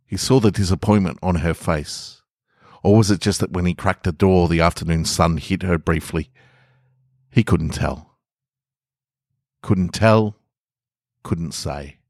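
A man's voice: deep voice, measured pace, pauses often, darkish tone, no echo, clean studio sound. A man hosting a podcast, talking casually and reading short stories aloud as narrator. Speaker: podcast host and author reading own stories.

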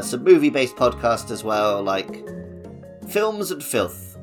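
Man chatting over music. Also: medium pitch. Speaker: podcast co-host. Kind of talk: casual chat.

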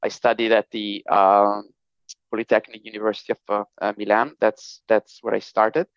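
Man, Italian accent, 30s, halting with fillers, dry close sound. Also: on the low side, monotone, clear, resonant tone, dry recording, lo-fi sound. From northern Italy. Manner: hesitant, many fillers and restarts. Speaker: podcast guest, technology executive. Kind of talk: casual interview talk.

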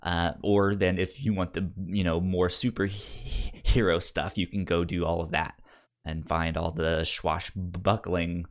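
A man with a low-pitched voice talks casually as a podcast host. His delivery is halting, with stammered syllables and repeated words in a loose, conversational flow. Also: little pitch movement.

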